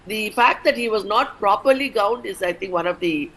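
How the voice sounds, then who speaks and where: A woman doctor, answering questions as an expert guest on a news program. She explains medical rules in a careful, measured way, pausing often with "uh" and "you know", at a middle pitch. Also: fairly bright tone, husky, rough recording. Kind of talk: TV interview answers.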